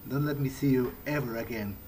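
Male gamer, mid-pitched, musing in character with halting phrasing, noticeable noise and game atmosphere underneath. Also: darkish tone. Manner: hesitant, thinking aloud. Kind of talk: improvised in-character video game roleplay. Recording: clearly noisy, game ambience underneath. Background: video game ambience.

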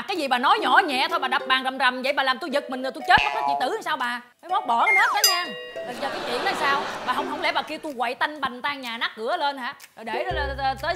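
An actress playing a touchy, boastful middle-aged rich mother in a comedic drama, scolding and exclaiming in a Southern Vietnamese accent. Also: high voice.

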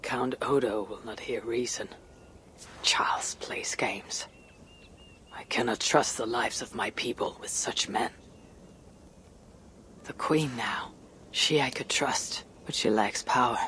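An actor playing a solemn, resolute Viking warrior leader in a video game, speaking in a measured way. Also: male voice, mid-range voice, sharp tone, whispered. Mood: brooding. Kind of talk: dramatic video game cutscene acting.

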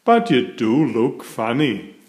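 A man voicing a playful, excitable little girl pig, exclaiming in a storybook read-aloud. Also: mid-range voice, takes it slowly, roomy sound.

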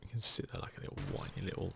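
A man giving hushed ASMR gameplay commentary close to the microphone, often dropping into whispers. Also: rapid-fire, background noise. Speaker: gaming video host.

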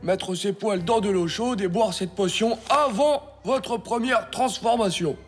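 A man's voice: high-pitched, unhurried, soft background music. A man voicing a know-it-all schoolboy pal in a French cartoon, sing-song and portentous, teasing and ominous. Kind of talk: French animated cartoon acting.

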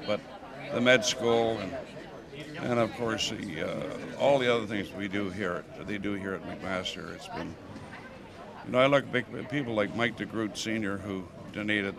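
Older man, lowish voice, halting interview in a busy hallway with crowd noise behind. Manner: rambling, halting, with many restarts. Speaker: donor interviewed at stadium opening. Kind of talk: casual interview. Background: crowd chatter.

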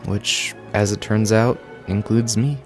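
A man playing an easygoing, resigned high school guy in a radio play. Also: fairly even intonation, unhurried, faint music behind, close to an everyday voice. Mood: awkward.

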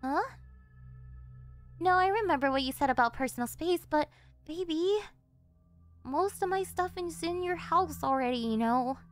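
A high-pitched yandere delinquent girlfriend, acted by a woman for an ASMR audio roleplay. She is clingy and doting, pleading sweetly with a possessive, threatening edge. Music plays behind her voice. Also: expressive, moderate pace, pauses often, no room sound. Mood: sheepish, coaxing. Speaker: voice actress.

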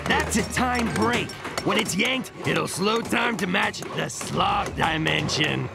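A man's voice: high voice, animated, slow, vocal fry, loud music behind the voice, exaggerated voice. A man voicing a lazy, doom-saying slacker sloth in a cartoon, with laid-back, sighing talk that keeps tagging on "man". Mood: weary, explaining. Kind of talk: animated cartoon acting.

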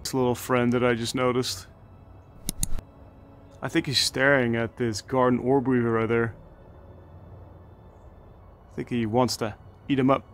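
Relaxed man touring his garden. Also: medium pitch, unhurried, long pauses, soft background music. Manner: relaxed, enthusiastic, chatty with fillers. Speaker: gardening channel host. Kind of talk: casual garden tour narration. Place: outdoors in a home garden.

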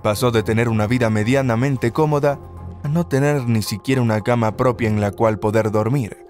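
A man narrates a scripted countdown with a steady, even delivery. His voice sits at a mid pitch, neither deep nor high, and a faint music bed runs quietly beneath him. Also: brisk.

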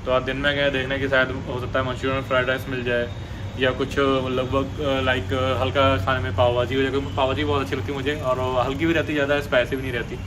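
A man's voice: mid-range voice, completely flat delivery, fast, background noise. A man vlogging casually outdoors at a hotel, chatting in a playful, teasing way. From Lucknow, India. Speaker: travel vlogger. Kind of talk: casual vlog chat.

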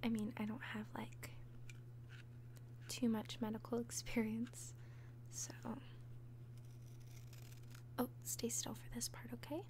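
A woman playing an obsessive, falsely gentle young caretaker in an ASMR roleplay, speaking softly and breathily with tender, halting hesitation. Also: a little high, level tone. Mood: gentle, nervous. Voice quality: soft, breathy whisper. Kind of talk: whispered ASMR roleplay, personal attention.

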